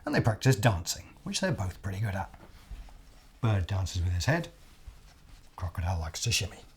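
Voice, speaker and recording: A man reading a picture book aloud as an expressive children's storyteller, deliberate, with dramatic pauses. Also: sits low, a little hiss or hum.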